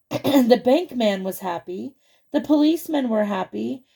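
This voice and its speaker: A woman hosts a children's storytime with a fairly high voice that crackles heavily with fry. She reads expressively, then chats to the young listeners, asking them questions and pointing out the pictures. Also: animated, a little slow, warm tone. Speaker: storytime host reading a picture book to children. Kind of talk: read-aloud storytelling with chatty asides to young listeners.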